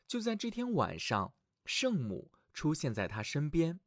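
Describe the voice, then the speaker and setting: A man tells a fairy tale as a storyteller for children. His scripted narration is dramatic and clearly phrased, with a pitch that rises and falls widely. The recording is dry and close. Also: huge pitch swings, unhurried, no room sound. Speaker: children's storyteller, show host.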